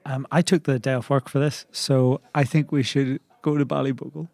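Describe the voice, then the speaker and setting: A man's voice: medium pitch, little pitch movement, moderate pace, vocal fry, a little mellow, no room sound, close to an everyday voice. A man playing an awkward, earnest, eccentric investigator in improvised comedy role-play.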